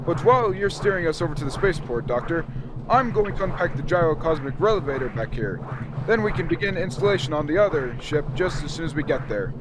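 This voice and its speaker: A man's voice: fairly high pitch, expressive, fast, lightly acted. A man acting an eager, impatient space adventurer in an old-time radio drama. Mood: brisk. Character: eager, impatient male space adventurer hero, brushing off fuss. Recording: clearly noisy background ambience.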